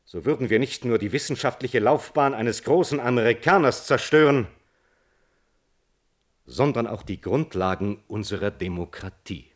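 An actor playing an earnest defence lawyer, formal and deliberate, making a plea in a German radio drama. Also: male voice, mid-range voice, animated, lightly acted. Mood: earnest, insistent. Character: measured, earnest defence lawyer pleading at a hearing.

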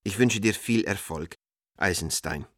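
Art dealer in a radio play, low voice, dry close sound, measured formal letter monologue. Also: male voice, a bit bright, no room sound. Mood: measured, pointed. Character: American art dealer writing coded letters to an old friend.

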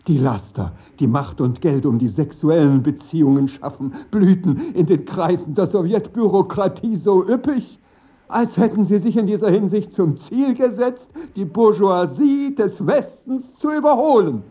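Indignant, high-voiced revolutionary orator. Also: male voice, breathy edge, dull audio, exaggerated voice. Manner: declamatory, emphatic, oratorical. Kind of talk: German radio play monologue, rhetorical.

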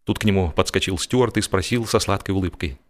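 A man narrating a humorous story in an expressive, theatrical, wry manner with deliberate emphasis. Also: deep voice. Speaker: audiobook narrator. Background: music.

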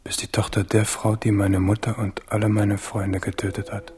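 An actor playing a captive young prince in a German radio drama, grave, earnest and measured. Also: male voice, lowish voice, level tone, darkish tone, slightly rough. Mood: grave, sorrowful.

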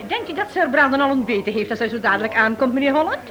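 An actress playing a polite, attentive innkeeper's wife in a radio play, on an old recording. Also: female voice, sits high, lively intonation, very fast, lo-fi sound, music in the background. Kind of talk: Dutch-language radio play acting.

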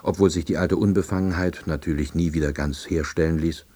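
A man playing a wry, self-deluding office worker who narrates his story in a deadpan, reflective way. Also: very deep voice, a little fast. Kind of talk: first-person radio play narration.

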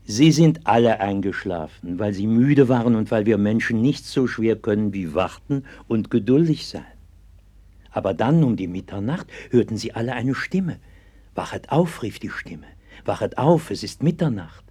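An actor playing a gentle, devout sixteenth-century pastor in an old German radio play, speaking solemnly and patiently. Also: male voice, fairly low pitch, lively intonation, normal speed, breathy edge. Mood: earnest, storytelling. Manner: solemn, patient, earnest preaching cadence. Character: gentle, devout 16th-century pastor comforting a sick child.

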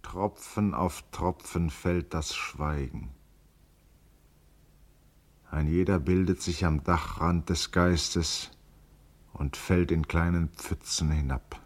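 An actor playing a grave, contemplative man in a literary radio play, speaking in a solemn, deliberate, poetic way. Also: low-pitched, steady tone. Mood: brooding. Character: grave, contemplative man musing on life and cosmos.

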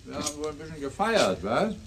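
A man's voice: fairly high pitch, a little fast. An actor playing a dry, laconic patrol policeman in a radio crime drama. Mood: dryly official. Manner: deadpan, laconic. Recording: faint outdoor ambient noise.

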